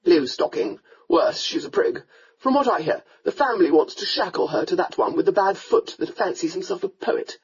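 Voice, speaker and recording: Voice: medium pitch, lively intonation, moderate pace. A narrator voicing a stern, domineering older earl who speaks curtly and dismissively, in a slightly muffled recording. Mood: scornful. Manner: curt, gruff, dismissive.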